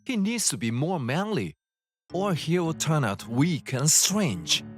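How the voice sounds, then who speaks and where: A woman voicing a stern, scornful old grandfather with a gruff, judgmental manner in a manga dub. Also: deep voice, very animated, slow pace, over music, heavily acted.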